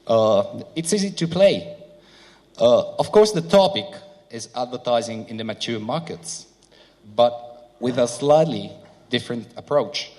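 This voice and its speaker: A man's voice: mid-range voice, animated, a little mellow. Non-native English-speaking male seminar speaker. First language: not English. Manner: halting, with many fillers. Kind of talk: conference presentation with interpreter. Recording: hall reverb.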